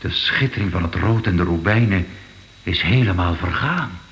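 An actor playing a sober, thoughtful art investigator in a radio drama, speaking in a measured, pondering way. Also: male voice, deep voice, fairly bright tone. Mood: intrigued. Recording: echoey, faintly noisy old radio play.

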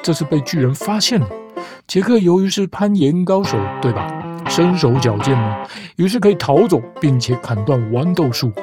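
A man's voice: fairly high pitch, animated, normal speed. A man hosting a podcast, retelling fairy tales with playful, teasing commentary. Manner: playful, teasing, mock-reasonable. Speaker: podcast host. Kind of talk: storytelling commentary. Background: guitar music.

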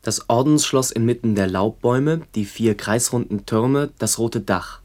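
A man narrating in a radio play as a sober chronicler of a remembered village, measured and descriptive. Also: medium pitch, a little mellow, lightly acted. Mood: sober, evocative.

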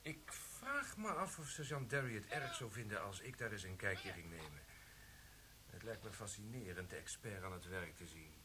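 An actor playing a curious police sergeant in a radio play, dry and inquisitive. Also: male voice, on the low side, animated, moderate pace, heavy background noise, natural voice. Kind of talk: broadcast radio play acting.

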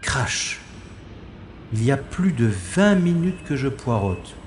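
An actor playing a wry, stubborn special agent who narrates his own science-fiction audio drama in a sardonic, deliberate way. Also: mid-range voice, sing-song, slight background noise. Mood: watchful, wry.

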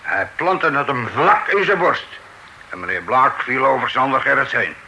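Witness, gravelly, sharp bright tone, faint hiss. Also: male voice, medium pitch, lively intonation, normal speed, poor audio. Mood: testifying. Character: sly witness giving his account. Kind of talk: old radio play acting.

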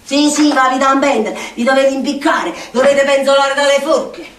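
A stage actress playing a bitter, mocking, eccentric slum outcast in a rambling monologue with a Neapolitan accent. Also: female voice, high-pitched, fairly even intonation, normal speed, natural voice. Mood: vengeful, mocking. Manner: rambling, muttering, sardonic, swinging into chant. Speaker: stage actor. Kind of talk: theatrical monologue in dialect. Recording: roomy distant stage recording with noise.

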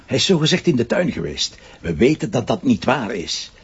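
A very husky, gravelly middle-aged Flemish man as a stubborn, teasing police commissioner. His delivery is animated and natural, in the manner of TV drama, with slightly muffled sound. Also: mid-range voice, moderate pace, full character voice. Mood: stubborn, arguing. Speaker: actor.

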